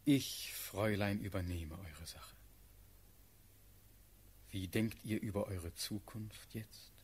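Ardent, very husky young prince. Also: male voice, on the low side, slow pace, darkish tone. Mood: consoling, fervent. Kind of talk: classical German radio play verse acting.

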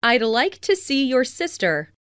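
A woman reading short English dialogue lines slowly and clearly, repeating each phrase three times for learners. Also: sits high, very animated, a bit bright, no room sound, clean studio sound. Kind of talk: slow scripted phrase repetition.

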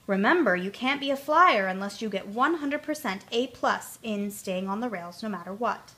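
A woman's voice: medium pitch, lively intonation, moderate pace, sharp tone. A woman doing an old engineer teacher. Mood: firm. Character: old male train engineer, firm teacher. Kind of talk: storybook reading.

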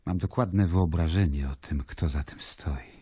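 An actor playing a stern, brooding Roman governor in an audio drama, solemn and commanding. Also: male voice, a bass voice, unhurried, dark tone, husky, exaggerated voice. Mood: grave.

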